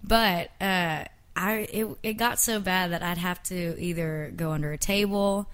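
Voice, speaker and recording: A young woman sits as a guest in a relaxed interview and speaks softly in a lowish voice. She laughs a lot, and her answers trail off into fillers and small hesitations. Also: unhurried, clear, resonant tone. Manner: soft-spoken, laughs often, trails off with fillers. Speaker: singer and guest. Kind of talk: casual interview chat.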